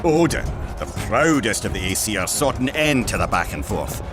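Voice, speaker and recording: Animated male storyteller over music. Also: lowish voice, moderate pace. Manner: theatrical, emphatic. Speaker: narrator. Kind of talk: dramatic scripted mythology storytelling. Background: background music.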